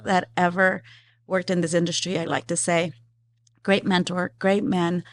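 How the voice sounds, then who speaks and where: Chatty, low-voiced woman from Ecuador. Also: flat delivery, normal speed, no echo. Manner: laughs easily, chatty with frequent fillers. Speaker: guest, philanthropy executive. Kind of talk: casual interview conversation.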